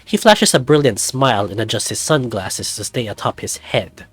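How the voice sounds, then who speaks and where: A man narrating a visual novel in the second person in a lively storytelling manner. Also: mid-range voice, moderate pace, slightly rough, soft background music.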